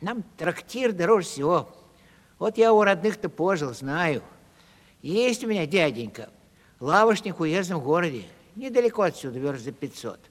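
High-pitched, lively vagabond comedian. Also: male voice, normal speed. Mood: rueful storytelling. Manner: animated, plaintive storytelling. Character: scrawny, plaintive, cheeky vagabond provincial comic actor. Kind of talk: 1970s audio stage drama acting. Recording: reverberant hall-like studio recording.

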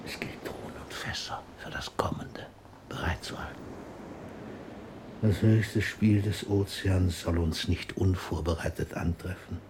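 A slow, grave old poet. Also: male voice, low voice, steady tone, in a whisper. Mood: solemn, lyrical. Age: older. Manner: declamatory, halting between murmur and full voice. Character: ailing old poet, grave, lyrical and searching. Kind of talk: radio play acting.

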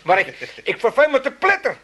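A man's voice: very high-pitched, huge pitch swings, fairly quick, sharp tone, full character voice. A man playing a cheeky, self-pitying elderly father with an Amsterdam accent in a comedy radio play, rambling and stammering in a folksy, blustering way. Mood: gleefully mischievous. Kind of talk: 1980s Dutch comedy radio play acting.

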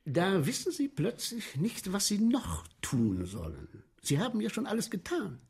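An actor in a radio play as an eager, obliging male sewer inspector with a macabre streak, telling his tales deliberately and insinuatingly. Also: sits high, huge pitch swings, a little mellow, slightly rough, full character voice. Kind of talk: 1970s German radio play acting.

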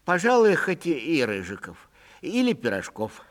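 An actor voicing a kindly, food-loving old landowner in a literary audiobook, gentle, fussy and hesitant. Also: male voice, fairly high pitch, huge pitch swings, normal speed, in a large hall, pitched above the performer's own voice. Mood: mild, hungry. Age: older.

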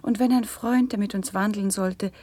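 An actress playing a gentle, refined princess and patient sister in a classic German radio play, speaking measured lyrical verse in a soft, breathy voice. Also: female voice, mid-range voice, steady tone, no room sound, close to an everyday voice. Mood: gentle, pleading.

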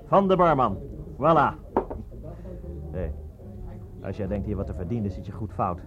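A man's voice: lowish voice, big pitch swings, moderate pace. Cocky barman in a muffled radio play. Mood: cocky, warning. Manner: cocky, chuckling, fawning to police. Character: brash, slangy barman of a shady Paris bar.

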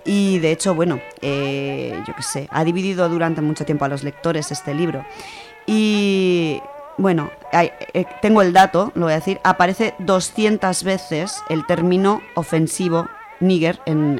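A person with an accent from Spain giving an informal, colloquial book review on the radio, explaining things in a conversational way. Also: mid-range voice. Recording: music under the voice. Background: music.

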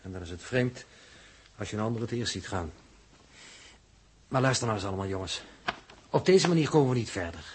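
An actor as a grave, low-voiced space crew leader. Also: male voice, animated, lightly acted. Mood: grave, resolute. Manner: measured, grave, firm. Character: sober, resolute male space crew leader taking command. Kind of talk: 1960s Dutch radio drama acting. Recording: noisy old radio recording.

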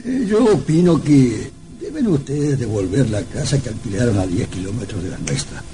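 A man's voice: mid-range voice, sing-song, moderate pace. An actor playing a sly, genial old man with an avuncular, hesitant manner in an old radio drama. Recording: faintly noisy old radio recording.

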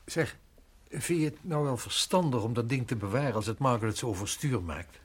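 An actor playing a hearty, reassuring family friend in a radio drama, forthright and firm in manner. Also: male voice, medium pitch, brisk, slightly rough, close to an everyday voice. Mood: concerned.

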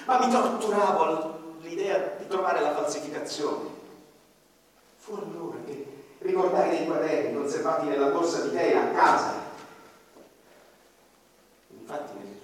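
A man narrating a radio drama in the first person, grave and dramatic, often dropping to a hush. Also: a little high, heavy reverb, big-room sound, recorded from a distance, a little hiss or hum, rough recording. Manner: grave, dramatic storytelling with sudden drops to a hush.

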